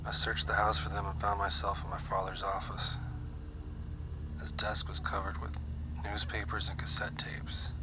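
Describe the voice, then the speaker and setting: An actor playing a haunted young soldier in an audio drama, speaking in a hushed voice that often drops to a whisper. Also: male voice, lowish voice, noisy background, lightly acted. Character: young male soldier, haunted, paranoid survivor.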